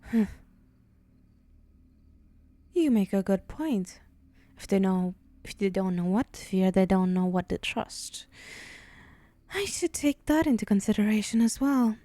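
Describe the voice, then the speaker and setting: A sly, haughty female demon played by a woman bargains for souls in an intimate ASMR roleplay. Her voice is soft-edged and dark, with a leisurely, theatrical delivery full of sighs. The sound is close and very dry. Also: mid-range voice, no echo, close to an everyday voice. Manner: haughty, teasing, sighing, theatrical. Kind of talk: ASMR roleplay monologue to the listener.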